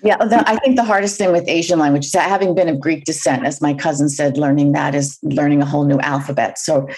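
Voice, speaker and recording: A woman's voice: low-pitched, steady tone, brisk, darkish tone, slightly rough. A woman from New York hosting an interview in a curious, chatty way. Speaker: host. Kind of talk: conversational interview.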